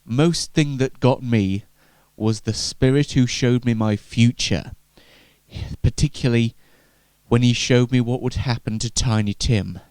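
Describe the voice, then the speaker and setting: A man's voice: medium pitch, a little slow, a little mellow, clean tone, dry recording, pitched below the performer's own voice, natural voice. A man voicing an elderly reformed Victorian miser in a comedy sketch, formal and hesitant, sometimes testy. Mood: earnest.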